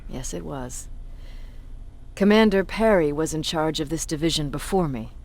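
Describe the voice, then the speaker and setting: A woman's voice: low voice, lively intonation, normal speed, a little mellow. A woman playing a battle-hardened resistance commander in a video game, with a blunt, authoritative manner. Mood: wry, reminiscing.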